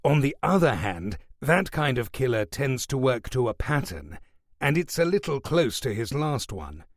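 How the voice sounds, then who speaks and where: A calm, probing detective, as a male reader plays him, level and matter-of-fact, with a lively lilt to his phrasing. The voice is close and dry. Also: mid-range voice, moderate pace, no echo.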